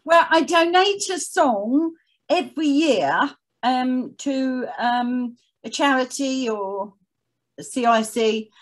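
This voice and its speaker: A woman's voice: sits high, takes it slowly. A woman speaking conversationally as an interview guest, telling personal stories. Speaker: podcast guest, charity chief executive.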